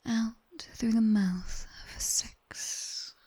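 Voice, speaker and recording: A British woman playing a sharp-tongued demoness tutor in a slow, teasing, breathy ASMR whisper, close on the microphone. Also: on the high side, fairly even intonation, heavily acted. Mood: calm, instructing. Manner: slow, deliberate, teasing, dryly stern. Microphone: close binaural microphone.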